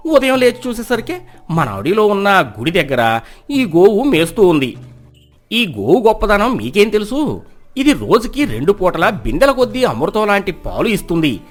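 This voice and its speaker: Guy as a sly lazy village conman, boastful, highish lively voice, storybook with music. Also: moderate pace. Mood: persuasive, pious. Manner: boastful, persuasive. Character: cunning, lazy young village trickster, smooth-talking.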